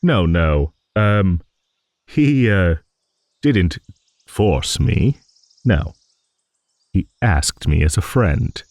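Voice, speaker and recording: A man voicing an ancient, genial dragon in an improvised fantasy audio roleplay, rambling and musing like a refined, hesitant old gentleman. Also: deep voice, expressive, drawn-out words, long pauses, no room sound, studio quality, full character voice. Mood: reassuring, sheepish.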